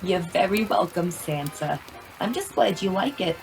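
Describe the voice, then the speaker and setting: An actress playing a chatty, sweet but sharp-tongued woman as Mrs. Claus in an old-time radio comedy. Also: fairly low pitch, expressive, normal speed, gravelly, soft background music, poor audio. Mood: kindly.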